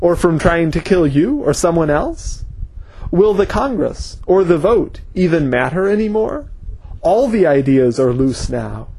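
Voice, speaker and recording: A grim, intense scholar, speaking in a husky, fairly high voice with a brooding edge, as a male narrator voices him. His lines carry a steady, serious weight. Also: measured pace, exaggerated voice.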